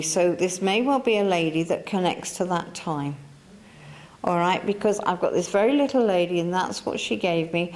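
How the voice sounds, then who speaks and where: A woman's voice: mid-range voice, darkish tone. Woman, chatty coaxing medium. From England. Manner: coaxing, chatty, repeats herself. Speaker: spiritualist medium. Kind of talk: mediumship demonstration to a church audience. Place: church hall.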